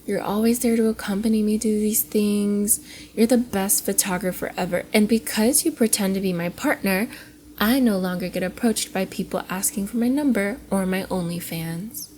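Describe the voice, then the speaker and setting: A woman playing a flustered, affectionate young cosplayer in an intimate ASMR roleplay, coy and giggly, often dropping into soft breathy murmurs. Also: medium pitch, moderate pace, slight background noise, lightly acted. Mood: touched, affectionate. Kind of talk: ASMR roleplay, intimate one-sided dialogue to the listener.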